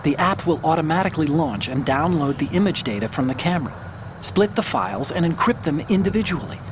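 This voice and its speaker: An actor playing an eager male hacker who explains things quickly over a radio link. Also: on the high side, lively intonation, noisy background, lightly acted. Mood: explaining. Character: chatty male tech support hacker.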